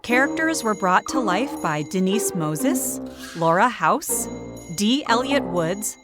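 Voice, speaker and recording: A woman's voice: mid-range voice, sing-song, slow pace. A woman reading scripted end credits as an announcer. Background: prominent music.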